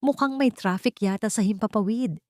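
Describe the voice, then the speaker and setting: A woman with a Filipino accent voicing a gentle young nanny who coaxes a child softly, playfully and patiently in an audio drama. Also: medium pitch, moderate pace, no echo, clean studio sound, voice pushed up, lightly acted. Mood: playful coaxing.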